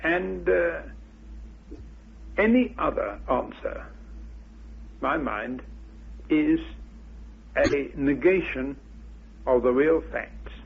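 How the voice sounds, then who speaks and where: A man speaking as an interviewed researcher, giving opinionated, deliberate views in formal wording, unscripted. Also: a little high, expressive, vocal fry, a little hiss or hum. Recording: muffled old radio recording.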